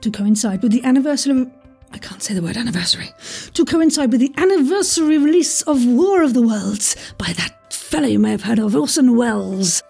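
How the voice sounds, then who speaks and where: Quizmaster, female. Husky, relaxed tone, chatty and rambling, with self-mocking, jokey asides. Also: fairly high pitch, fairly quick, soft background music. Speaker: podcast host and quizmaster. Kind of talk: informal chatty quiz hosting.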